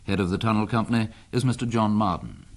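A man's voice: lowish voice, sing-song, fast, vocal fry, background noise. A man, a radio presenter, reading a scripted 1970s news review narration.

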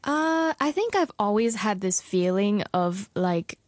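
A woman's voice: mid-range voice, expressive, unhurried, no room sound, studio quality. A woman who grew up in Hong Kong, a singer chatting as a guest with many likes, ums and laughs. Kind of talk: casual interview chat.

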